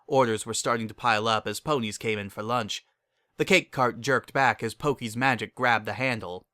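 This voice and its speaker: A man's voice: medium pitch, moderate pace, sharp tone, no room sound, clean studio sound. A man reading scripted dramatic story narration as a narrator.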